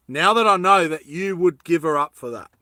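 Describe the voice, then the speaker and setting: A man's voice: a little high, measured pace, sharp tone, dry recording, studio quality. A man hosting a casual comic chat, talking in a slangy, teasing way. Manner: slangy, teasing, laughs often. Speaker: host.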